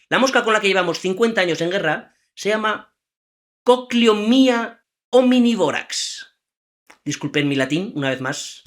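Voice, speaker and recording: Guy from hosting a trivia podcast, kinda high voice, chatty storytelling, keeps asking the listeners stuff. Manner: lively, asks listeners rhetorical questions. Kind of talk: casual storytelling chat.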